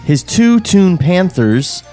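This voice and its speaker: A man's voice: sits high, very animated, slow, dark tone, soft background music. A man reading out screenplay stage directions as narration at a podcast table read.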